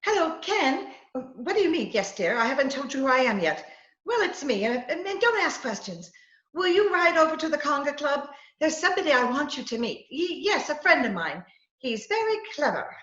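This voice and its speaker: A woman's voice: high voice, sing-song, normal speed, fairly bright tone. A woman playing a scheming, coaxing woman who poses as a poisoner gangster, with breezy melodramatic patter in a 1940s-style radio comedy. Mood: flustered, bright. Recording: roomy, slightly dull video-call recording.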